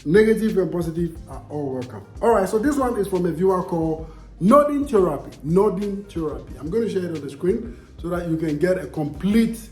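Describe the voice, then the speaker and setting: A man's voice: high voice, expressive, brisk, reverberant, faint music behind. A young Ghanaian man with a Ghanaian accent, vlogging casually and answering viewer comments in an emphatic way, repeating himself and asking rhetorical questions.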